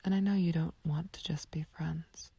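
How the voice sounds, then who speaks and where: Woman acting a breathy, whispery flirtatious villainess. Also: low-pitched, steady tone, moderate pace, warm tone, no room sound, full character voice. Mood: intimate, playful. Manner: teasing, coaxing, languid. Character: flirtatious, taunting female villain sweet on a captive friend. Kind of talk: ASMR roleplay, intimate scripted acting.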